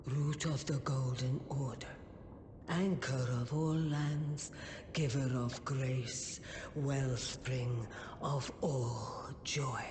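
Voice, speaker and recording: An actress voicing an ancient crone oracle in a fantasy game, breathy and solemn, intoning as if in prayer in a reverberant hall. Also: female voice, extremely low pitch. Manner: incantatory, solemn, drawn-out. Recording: long hall reverb, clear ambient noise.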